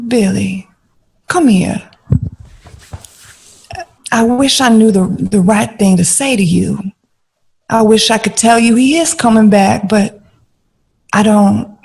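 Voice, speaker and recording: A woman's voice: a little high, gravelly, darkish tone, breathy edge, exaggerated voice. An actress playing a glamorous, tender woman confidante in a 1960s audio drama, languid and coaxing.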